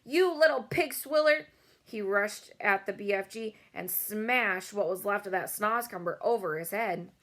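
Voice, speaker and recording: Female storybook reader, medium pitch, narrating for children. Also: steady tone, measured pace, few pauses, fairly bright tone. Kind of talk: children's read-aloud narration with character voices.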